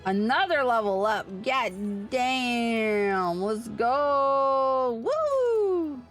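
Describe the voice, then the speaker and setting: A woman's voice: on the high side, sing-song, extremely slow, faint music behind. A woman livestreamer chatting casually with viewers while she plays an online game, playful, informal and sweary. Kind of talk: casual chat with viewers during gameplay.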